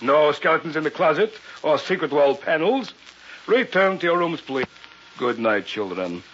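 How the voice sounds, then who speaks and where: An actor playing a secretive, authoritative doctor in an old-time radio drama, on a muffled, hissy recording. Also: male voice, fairly high pitch, lively intonation, normal speed, close to an everyday voice. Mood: lecturing. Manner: formal, authoritative, theatrical. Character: secretive doctor, commanding host of an island weekend.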